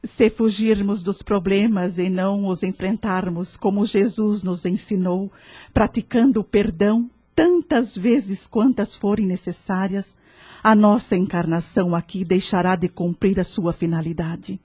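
An actress playing a wise, serene woman who gives kindly spiritual counsel in a radio drama, speaking in a measured, earnest, preachy way. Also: mid-range voice, fairly even intonation, dark tone, breathy edge, no echo. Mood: devout, serene.

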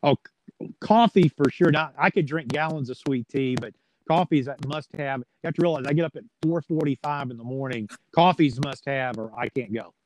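A man with a middling-pitched voice chats as a guest in a relaxed interview. He is folksy and plain-spoken, with a joking way of putting things. There is some noise and clicking behind him. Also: brisk, poor audio. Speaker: sports play-by-play announcer as interview guest. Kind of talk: casual interview chat.

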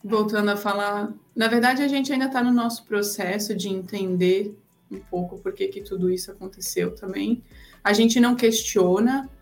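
A woman's voice: medium pitch, fairly even intonation, moderate pace. A woman speaking as an interview guest, answering in an earnest, reflective way. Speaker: guest, traveler.

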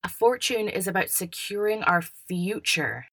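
A woman's voice: medium pitch, animated, unhurried, a bit bright, slight background noise. A woman playing an overbearing, self-important elder brother with a preachy, over-hearty manner in a fan audio drama.

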